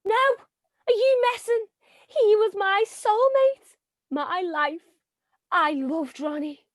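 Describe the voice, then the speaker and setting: An actress playing a brash, gold-digging young widow with a Liverpool accent, melodramatic and cheeky. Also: female voice, very high voice, takes it slowly, dry recording, clean studio sound. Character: brassy gold-digging young widow faking exotic roots. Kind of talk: stage comedy acting.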